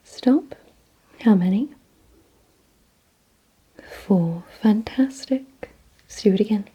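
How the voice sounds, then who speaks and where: A woman speaks in a very breathy whisper, mostly without voice. Her delivery is slow and deliberate, with a soft, mellow tone. She gives hushed instructions straight to the listener as an ASMR roleplay. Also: mid-range voice, poor audio. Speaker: ASMR performer.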